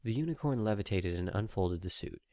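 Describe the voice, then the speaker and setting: A man's voice: fairly low pitch, expressive, brisk, mellow, dry recording. A man reading a story aloud as an audiobook narrator, in scripted storytelling style.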